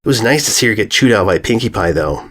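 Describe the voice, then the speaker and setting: A man's voice: on the low side, lively intonation, quick pace, a bit bright. A young tomboy filly, as a male narrator puts her on. The delivery is offhand and dismissive, with a brash edge suited to a storybook.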